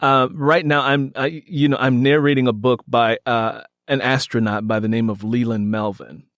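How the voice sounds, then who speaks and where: A man's voice: mid-range voice, steady tone, moderate pace, a bit bright, no echo, studio quality. A man hosting a podcast interview in an enthusiastic, conversational way, emphatic and given to repeating words for effect.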